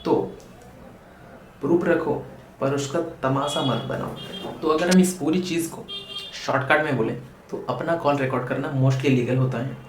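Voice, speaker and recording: A man with an Indian accent presenting an explainer, speaking directly to viewers and posing questions as he goes. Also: mid-range voice. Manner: direct address with rhetorical questions. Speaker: presenter of a legal awareness video. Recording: echoey room with faint background noise.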